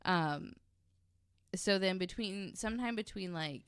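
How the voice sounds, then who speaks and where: A woman's voice: mid-range voice, measured pace, clear, resonant tone, no room sound, clean studio sound. A woman podcast host telling a story in a casual, conversational way, with wry, informal asides.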